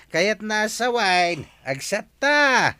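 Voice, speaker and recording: A man's voice: high-pitched, very animated, very slow, bright, forceful tone, smooth, full character voice. A man with an Ilocano accent playing an easygoing, talkative, teasing village man in a Filipino radio comedy drama. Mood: tipsy, jovial.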